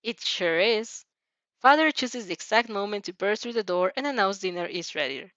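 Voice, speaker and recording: A woman narrates a fan fiction story in an animated, informal way, sometimes tripping over words. Her pitch is average, and the recording is dry and slightly dull. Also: fairly quick, dry recording. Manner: giggly, stumbles over reading.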